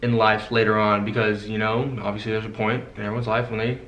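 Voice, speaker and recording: A man's voice: on the low side, little pitch movement, quick pace, a bit bright, highs cut off. A young man co-hosting a casual Q&A, laid-back and easygoing, often saying "you know.". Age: young adult. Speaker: co-host. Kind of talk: casual couple Q&A chat. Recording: echoey room.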